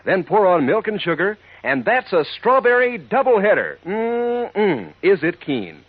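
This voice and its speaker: A man's voice: sits high, very animated, unhurried, no echo. A man announcing a 1940s radio advertisement with peppy, folksy salesmanship, on a tinny old recording. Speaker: commercial announcer.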